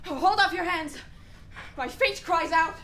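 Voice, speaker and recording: A woman's voice: very high voice, a little slow, sharp tone, slight background noise, heavily acted. A woman playing an anguished, impulsive young prince and grieving son in a Shakespeare radio play, with theatrical exclamations. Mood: defiant.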